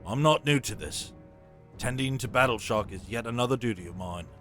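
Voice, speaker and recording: A seasoned, stoic sergeant of a fantasy army, acted by a man. His mid-range voice has a bright, forceful edge. He speaks in clipped, respectful military phrases. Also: mid-range voice, animated, brisk, soft background music, close to an everyday voice. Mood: dutiful, concerned. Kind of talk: fantasy audio drama acting.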